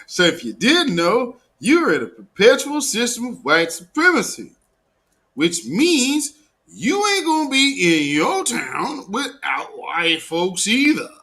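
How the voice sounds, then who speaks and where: A man's voice: high voice, wildly swooping pitch, slow pace, pushed and bright. An American man hosting a live commentary show, talking in a casual, opinionated, mocking and sarcastic way. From Portland, Oregon, United States.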